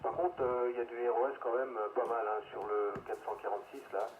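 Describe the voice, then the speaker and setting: A man's voice: mid-range voice, steady tone, a little fast. Male radio amateur, rambling casual chat, narrow phone-like radio sound, faint noise. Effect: radio transmission.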